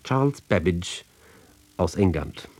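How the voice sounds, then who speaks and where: A man giving a literary reading of narrative poems, with deliberate, measured phrasing and pauses between short fragments. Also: low voice, expressive, a little mellow.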